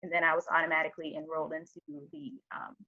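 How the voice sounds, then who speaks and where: Low-pitched woman on dull call audio. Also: fast, gravelly. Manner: relaxed, filler-heavy explaining. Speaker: medical student panelist. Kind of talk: casual account on a video call. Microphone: video call.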